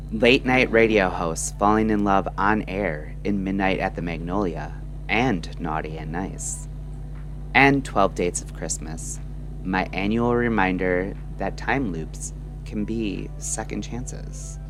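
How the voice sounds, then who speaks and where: Slow, low-pitched man narrating over music. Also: rough recording. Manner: measured, introspective, deliberate short phrases. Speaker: narrator and host of a late-night radio style audio show. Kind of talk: scripted reflective monologue, late-night radio narration.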